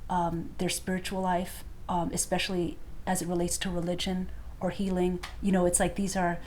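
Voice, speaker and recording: A woman speaking reflectively in an interview, pausing often with hesitations. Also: lowish voice, completely flat delivery, darkish tone, a little hiss or hum. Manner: thoughtful, many hesitations.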